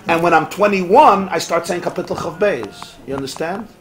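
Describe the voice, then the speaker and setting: Animated rabbi teaching a Talmud class. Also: male voice, high voice, moderate pace, soft background music. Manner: animated, rhetorical, drops Hebrew and Yiddish terms. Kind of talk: lively Talmud class lecture with back-and-forth. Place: classroom with students.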